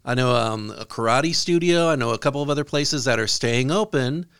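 A man on a casual talk panel, adding wry, deadpan asides. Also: mid-range voice, a little fast, clear, resonant tone, dry recording, studio quality. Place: small studio.

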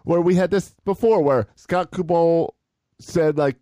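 Male co-host, relaxed sports chat, dry close sound, a bit high. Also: a little slow, no room sound. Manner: conversational, steers the talk, dry agreement. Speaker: podcast co-host.

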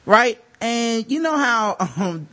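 A man's voice: very high-pitched, animated, slow pace, pushed and bright, rough recording. A man hosting a podcast, telling stories in loose, profane, laughing banter. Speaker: podcast host.

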